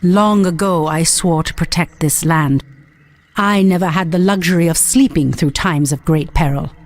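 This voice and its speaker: A woman's voice: fairly low pitch, normal speed, natural voice. Woman acting a proud, fierce elven warrior priestess. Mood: grave, proud. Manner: resolute, formal, commanding. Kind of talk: dramatic fantasy game acting.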